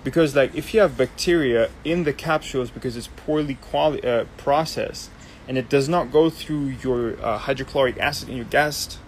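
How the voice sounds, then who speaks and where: A man's voice: mid-range voice, normal speed, a little hiss or hum. A young man from Germany, hosting a casual live Q&A and explaining things loosely with many ums and restarts. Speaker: host. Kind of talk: casual live Q&A, answering viewer questions.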